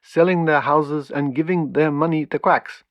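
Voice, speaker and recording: A man's voice: fairly high pitch, fairly even intonation, normal speed, dry recording, natural voice. A man putting on a poor Belgian French accent to voice a grave male medical professor. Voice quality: mock foreign accent, self-admittedly poor.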